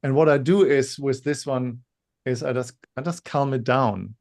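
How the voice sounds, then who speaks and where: Gravelly, halting man on a webinar. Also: mid-range voice, darkish tone. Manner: halting, repeats words, self-described stutterer. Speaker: webinar co-host. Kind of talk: casual webinar talk.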